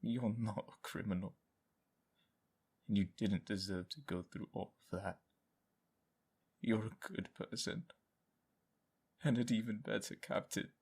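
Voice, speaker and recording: An actor playing a haunted, loyal soldier who survived captivity, speaking in a halting, confessional way in an audio drama. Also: male voice, lowish voice, creaky, a little mellow, breathy edge, full character voice. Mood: earnest.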